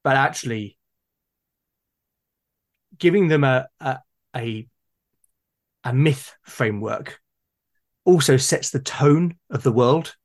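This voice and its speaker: A man with an average-pitched voice talks in a casual, discursive way. His delivery is halting, and he often repeats a word while he works out the idea. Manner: halting, repeats words while forming ideas. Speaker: conversation partner. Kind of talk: casual discussion of role-playing games.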